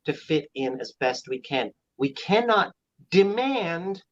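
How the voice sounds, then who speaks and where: Blunt low-voiced woman, lo-fi mic. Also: big pitch swings, slow. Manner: blunt, opinionated. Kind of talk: casual podcast chat.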